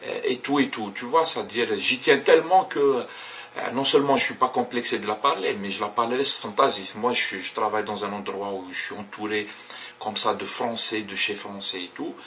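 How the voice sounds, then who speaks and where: A man's voice: on the low side, a little fast, bright, forceful tone. A man with Kabyle as his first language, talking casually to a webcam in a rambling way, with many hesitations and restarts. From Kabylie, Algeria. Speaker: vlogger. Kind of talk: casual webcam talk to viewers.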